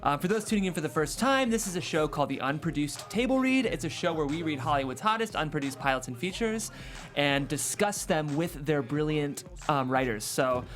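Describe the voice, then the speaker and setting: A man's voice: on the high side, animated, brisk, fairly bright tone, faint music behind. A man hosting a table read show, chatting and narrating script directions in a studio.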